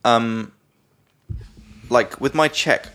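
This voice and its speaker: A British man co-hosting a podcast in casual chat, a bit drunk, blunt and quick to joke and swear. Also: medium pitch, sharp tone, clear, resonant tone. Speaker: podcast co-host.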